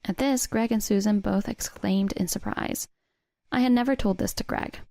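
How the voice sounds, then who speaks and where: A woman reading a scripted first-person story in a measured way as its narrator. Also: medium pitch, creaky, mellow, no echo.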